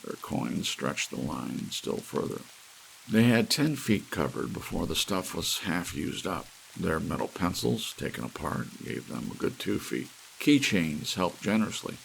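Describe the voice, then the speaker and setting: Deep, gravelly male audiobook narrator. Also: low-quality recording. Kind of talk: scripted narration of a sci-fi story, ASMR audiobook. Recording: faint hiss with soft rain. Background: soft rain.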